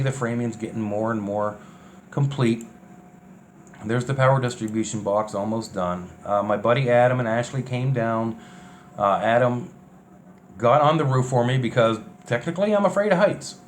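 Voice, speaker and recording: A man's voice: medium pitch, moderate pace. A man giving casual, unscripted narration over DIY build footage in a folksy, colloquial way. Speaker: vlogger recapping a DIY bus conversion. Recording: clear steady background noise, ambience.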